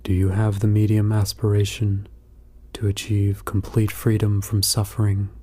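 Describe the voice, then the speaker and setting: Male meditation narrator: lowish, very soft-edged relaxed tone, near-flat delivery, calm and unhurried phrasing. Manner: calm, measured, soothing instruction with long pauses. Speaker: meditation guide.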